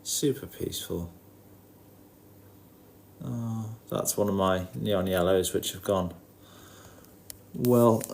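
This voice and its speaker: Guy with a lowish voice, bit bunged up with a cold, nasal, casual livestream chat, mumbles to himself. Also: slow, vocal fry, long pauses, a little mellow, a little hiss or hum. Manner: informal, muttering asides to himself. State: has a head cold. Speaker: livestream host. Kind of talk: casual livestream chat, greeting viewers while looking over fish tank.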